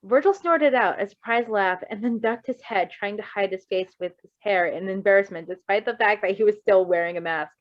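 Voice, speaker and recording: A woman's voice: medium pitch, fairly quick, few pauses, no echo, muffled recording. A woman reading a story aloud expressively, in an informal, giggly way, breaking off to comment casually. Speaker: fan fiction reader.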